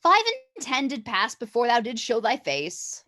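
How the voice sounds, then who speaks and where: A woman's voice: on the high side, very animated, a little slow, pushed and bright, heavily acted. A woman playing a bossy, confident teenage girl in a mock-Shakespearean staged reading, with haughty, theatrical archaic speech.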